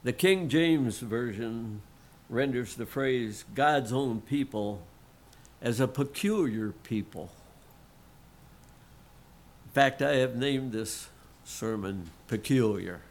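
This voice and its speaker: A middle-aged man, a lay pastor, preaching a sermon in an earnest, folksy way, with a frog in his throat that makes him clear it now and then. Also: medium pitch, slow pace, long pauses.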